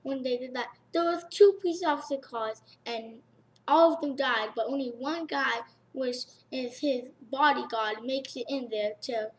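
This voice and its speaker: A high female voice chats casually as a review panelist. The recording has faint noise behind the voice. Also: moderate pace. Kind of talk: casual chat.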